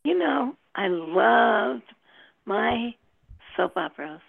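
A woman with a heavily creaky, husky voice chats at a slow, halting pace. She sounds like she is on a narrow phone line. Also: on the high side, lively intonation, no echo. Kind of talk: casual group chat. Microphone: phone or online meeting call.

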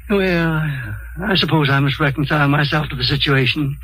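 An actor playing an irascible, haughty scientist who sputters and repeats himself fussily, in 1950s radio drama style. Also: male voice, on the high side, normal speed, a bit bright, a little hiss or hum, close to an everyday voice, rough recording. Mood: grudging, sly. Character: irascible, haughty male scientist, fussy and defiant.

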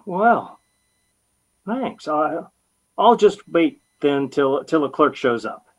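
A man's voice: a little high, sing-song, slow pace, muffled recording, heavily acted. A naive young husband in an old-time radio comedy. He is polite and easily flustered, tripping over his words and hesitating often. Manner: hesitant, stammering.

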